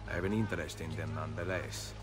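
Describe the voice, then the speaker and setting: Deep, measured Italian assassin. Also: male voice, fairly even intonation, over music. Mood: polite. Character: hooded male assassin, calm, courteous and resolute. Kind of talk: video game cutscene acting.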